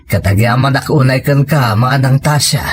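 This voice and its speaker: A man's voice: medium pitch, normal speed, slight background noise, natural voice. A man with an Ilocano accent playing a humble, doting, worried village father in an audio drama. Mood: grateful. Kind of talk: audio comedy-drama acting.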